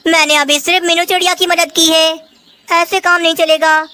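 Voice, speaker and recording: A woman's voice: extremely high pitch, little pitch movement, normal speed, bright, forceful tone. A woman voicing a scheming, falsely kind talking bird in a children's cartoon, with exaggerated delivery. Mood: sweetly persuasive. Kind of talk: Hindi children's cartoon dialogue.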